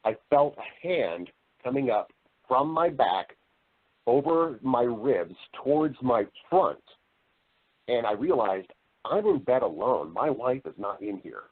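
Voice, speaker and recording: A man's voice: mid-range voice, sing-song, slow, husky. A middle-aged American man telling personal stories as an interview guest, speaking earnestly and emphatically, often repeating phrases as he goes. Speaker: podcast guest, voice-over artist and storyteller. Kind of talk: conversational interview storytelling. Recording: narrow-band, radio-like call audio.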